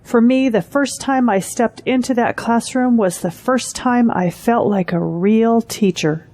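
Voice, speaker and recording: A woman playing a seasoned school principal in an audio drama, formal, measured and authoritative. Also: a little high, a little mellow. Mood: gracious, nostalgic.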